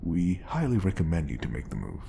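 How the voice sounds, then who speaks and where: A man playing a smooth, scheming, obsessive bodyguard who feigns polite professionalism in an audio roleplay. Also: sits low, wildly swooping pitch, a little fast, dark tone, a little hiss or hum, exaggerated voice. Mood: earnest, persuasive.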